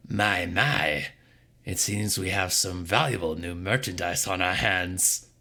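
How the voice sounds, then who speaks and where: Man voicing a slow, coarse bandit boss. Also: gravelly, sharp tone, exaggerated voice. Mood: smug, commanding. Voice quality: coarse, booming. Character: loud, coarse bandit leader, smug and commanding.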